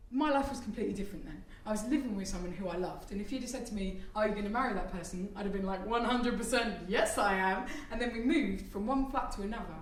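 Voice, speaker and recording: A woman in her thirties doing stand-up comedy, chatty and self-deprecating with apologetic asides, recorded live on a theatre stage. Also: fairly high pitch. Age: 30s. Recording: echoey venue, heard from a distance.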